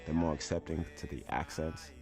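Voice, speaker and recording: A man's voice: a bass voice, fairly even intonation, a little fast. A young man, a music producer, talking in an easygoing, wry way in an interview. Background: music playing.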